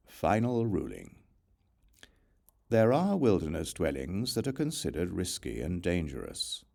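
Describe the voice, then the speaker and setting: A man reading scripture as an audiobook narrator, in a measured, formal recitation. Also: low-pitched, wildly swooping pitch, gravelly, dark tone. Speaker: audiobook reader.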